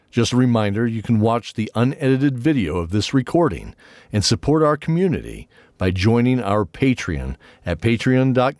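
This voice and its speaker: A man with a fairly low voice and a lively, swooping range, recorded close and dry. He hosts an interview in a casual, chatty style. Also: no echo. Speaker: podcast host and interviewer. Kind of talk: casual interview chat with a scripted intro.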